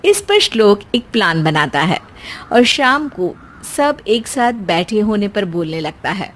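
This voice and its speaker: A woman's voice: medium pitch, sing-song, unhurried, a bit bright. A woman narrating a scripted cartoon family story as a storyteller.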